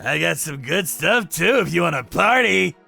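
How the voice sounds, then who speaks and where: A man's voice: fairly high pitch, expressive, moderate pace, vocal fry, sharp tone, no room sound, faint music behind, pitched above the performer's own voice, full character voice. A man voicing a sadistic, spoiled young rich villain in a manga story, lecherous, leering and explosive. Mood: smug.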